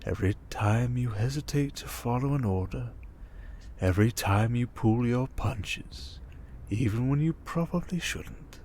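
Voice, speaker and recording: A man playing a smug, manipulative criminal mastermind in an ASMR roleplay, calm and condescending, coaxing with endearments and soft breathy murmurs. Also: medium pitch, measured pace, a little hiss or hum, lightly acted. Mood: teasing, probing. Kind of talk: ASMR roleplay monologue, dramatic.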